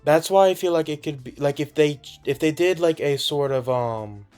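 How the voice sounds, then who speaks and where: A man's voice: a little high, moderate pace, a little mellow, smooth, faint music behind. A man co-hosting a casual gaming podcast, talking in a hesitant, rambling way full of fillers. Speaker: podcast co-host.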